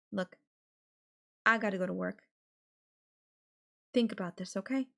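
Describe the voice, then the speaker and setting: A woman acting a slow-talking, obsessive yandere girlfriend. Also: medium pitch, vocal fry. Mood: coaxing. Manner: sweetly condescending, coaxing, threatening, with lingering pauses. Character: obsessive, menacing yandere girlfriend holding her lover captive. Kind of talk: girlfriend audio roleplay, intimate one-sided dialogue.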